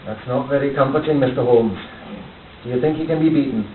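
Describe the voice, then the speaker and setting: Police inspector, male actor: dutiful, earnest, sympathetic, mid-pitched, radio drama with distant roomy sound. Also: fairly quick, close to an everyday voice. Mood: concerned. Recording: tinny, roomy, distant, hissy amateur recording.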